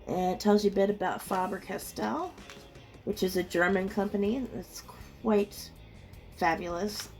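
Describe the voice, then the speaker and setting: A woman with a slightly rough, under-the-weather voice, giving a chatty, casual unboxing commentary as host of an art channel. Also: on the low side, darkish tone. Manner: chatty, reacts aloud with small exclamations. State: rough voice from being ill.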